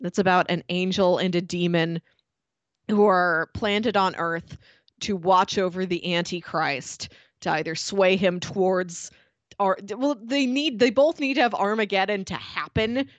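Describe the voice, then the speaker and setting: A woman's voice: lowish voice, steady tone, a little slow, no echo, highs cut off. A woman co-hosting a podcast, chatting casually as she reviews and recommends. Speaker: podcast co-host.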